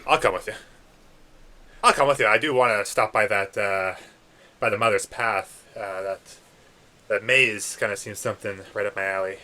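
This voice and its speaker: A man's voice: fairly low pitch, normal speed, pauses often, bright, forceful tone. A man speaking in character in a tabletop game, in an offhand, hesitant way. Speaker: player.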